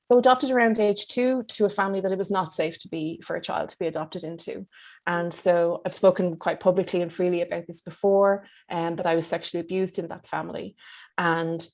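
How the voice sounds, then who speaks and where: An Irish woman in her fifties speaking as an interview guest, in an articulate, measured and reflective way. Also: on the low side, fairly even intonation, darkish tone. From Dublin, Ireland. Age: 50s. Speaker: psychotherapist being interviewed.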